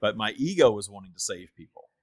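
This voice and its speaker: A man's voice: on the low side, lively intonation, fast, dry recording, clean studio sound. A man talking earnestly and reflectively about spiritual practice in a conversational way, as a show host, with emphasis on his points.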